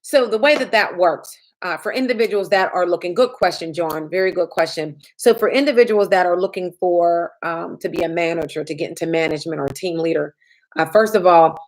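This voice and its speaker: A woman insurance agent and trainer answering viewer questions in a chatty live stream, her voice a little raspy from little sleep. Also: fairly low pitch, brisk. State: slightly raspy from lack of sleep.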